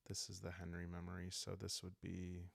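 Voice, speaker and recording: A man calmly thinking aloud in an unhurried, casual way as he plays and narrates a solo roleplaying game. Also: low voice, vocal fry, no echo. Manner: calm, unhurried, musing, meant for relaxed listening. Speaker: host and solo player of a journaling roleplaying game.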